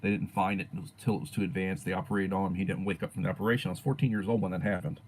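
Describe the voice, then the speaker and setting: A man in his sixties, a teacher chatting casually on his own livestream and answering viewers, rambling and letting his sentences fade softly at the ends. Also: deep voice, steady tone, rapid-fire, a little hiss or hum. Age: 60s. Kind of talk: casual livestream chat reading viewer comments.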